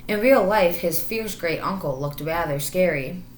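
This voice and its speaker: A woman teacher reading a children's story aloud with expressive narration. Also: lowish voice, measured pace, echoey room, slight background noise, rough recording.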